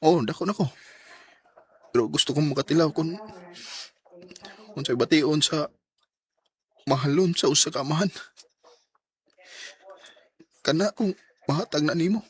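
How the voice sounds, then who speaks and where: A man's voice: on the high side, measured pace, long pauses, husky. A man acting a hurt but forgiving young son in a radio drama, earnest and emotional, with a Cebuano accent. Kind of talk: radio drama acting and first-person narration.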